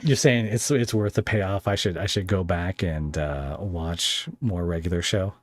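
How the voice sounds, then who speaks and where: A man with a very deep voice hosts a casual podcast chat. The sound is close and dry. He talks in a wry, hesitant, rambling way. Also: measured pace, no echo. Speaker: podcast host interviewing a guest. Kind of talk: casual pop culture chat.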